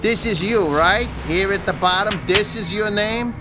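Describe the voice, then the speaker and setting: An actor playing an officious, impatient staff supervisor in an audio drama, exasperated and patronising. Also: male voice, high-pitched, a little slow. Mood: suspicious, dismissive. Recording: clear boat engine noise behind.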